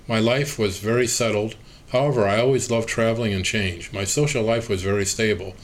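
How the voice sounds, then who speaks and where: Low-voiced man reading a transcript. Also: steady tone, a little fast. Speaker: reader of an oral history interview transcript. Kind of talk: reading an interview transcript aloud, speaker labels included.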